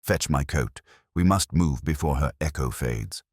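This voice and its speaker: A man voicing a brilliant, intense gentleman detective in an audiobook, speaking in a deliberate, theatrical and incisive way. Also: extremely low pitch, animated, no room sound, clean studio sound, full character voice. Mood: urgent, commanding.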